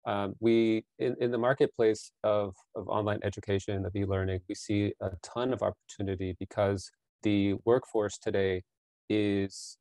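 A man's voice: fairly low pitch, fairly even intonation, normal speed, a little mellow, no room sound. A man in his forties, a business founder answering interview questions earnestly from a small bedroom over a video call, often repeating words as he thinks. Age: 40s. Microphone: headset over ears, video call.

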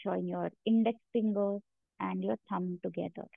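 A woman guiding a meditation as a yoga instructor, giving measured instructions with long pauses between them. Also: mid-range voice, steady tone, vocal fry, no room sound. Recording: narrow phone-like sound, very dry.

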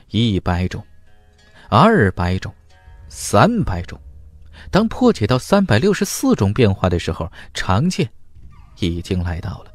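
Male fantasy audiobook narrator, dramatic and very varied in pitch, dry close sound. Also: no room sound.

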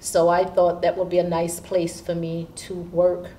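Low-voiced woman from Haiti, distant mic. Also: monotone, measured pace, warm tone. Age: 50s. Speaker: school assistant principal. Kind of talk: seated interview answers. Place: indoor school studio with curtain backdrop. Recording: distant mic with background room noise.

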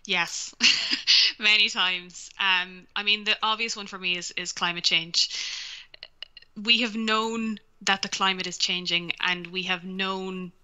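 Bright-voiced woman physicist guest. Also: medium pitch, steady tone, takes it slowly, smooth. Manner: explanatory, thoughtful, tags with "right?". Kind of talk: video call interview. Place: home room, indoors. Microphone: headset.

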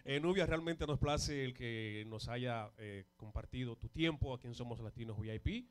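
A man's voice: mid-range voice, normal speed, clear, resonant tone. A man in his thirties hosting a live TV interview into a handheld mic, courteous, often repeating words as he searches for the next one. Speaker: TV host interviewer. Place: TV studio. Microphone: handheld microphone.

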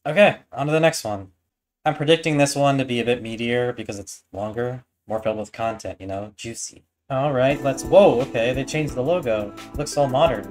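Male, young adult, casual reaction-video host, mid-pitched voice, music underneath. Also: a little fast, a little mellow. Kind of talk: casual off-the-cuff reaction commentary. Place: small bedroom, indoors.

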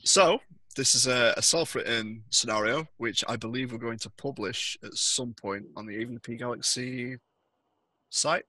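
Bright-voiced young man as game master. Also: mid-range voice, moderate pace, dry recording, low-quality recording. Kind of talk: narrating a tabletop roleplaying scenario. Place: indoor room on a video call.

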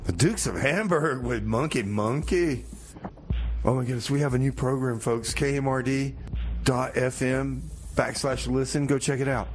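A man hosts a community radio show in a medium-pitched voice. He rambles and halts through song announcements and loose comic banter. There is noticeable ambient noise behind him. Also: moderate pace. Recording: clear background noise.

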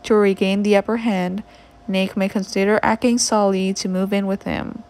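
A woman reading scripted entertainment narration in a smooth, even read-aloud delivery. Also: mid-range voice, normal speed. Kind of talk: scripted narration of soap opera spoilers.

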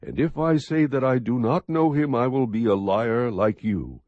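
A man narrating scripture as an audiobook, reading in a measured, expressive, husky voice. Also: mid-range voice, dry recording, highs cut off.

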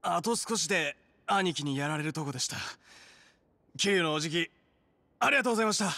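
High, tense yakuza underling. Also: male voice, lively intonation, quick pace, sharp tone, no room sound. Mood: cowed, grateful. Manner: apologetic, eager, deferential. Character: cowed, deferential male yakuza underling. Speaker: video game voice actor. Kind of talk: dramatic game cutscene acting.

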